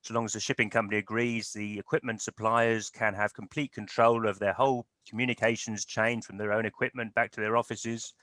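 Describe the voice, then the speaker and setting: Bright-voiced man hosting a webinar. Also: fairly low pitch, flat delivery, no room sound. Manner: measured, explanatory. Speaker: webinar host. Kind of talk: moderating a webinar. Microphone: video call.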